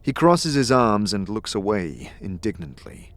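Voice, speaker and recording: A man with a husky, gravelly voice reading scripted second-person fantasy narration as narrator and game master. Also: lowish voice, very animated, measured pace, no room sound.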